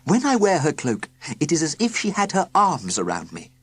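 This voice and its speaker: An earnest, lovestruck headmaster who speaks with dramatic sincerity, as a reader puts him on, pitched somewhat up and husky. He sounds like a hero in a children's storybook. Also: wildly swooping pitch, normal speed, exaggerated voice.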